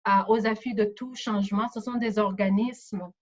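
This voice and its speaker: A woman's voice: mid-range voice, fairly even intonation, moderate pace, a little mellow, muffled recording. A woman moderating a community discussion, speaking spontaneously and hesitantly, often repeating words as she explains.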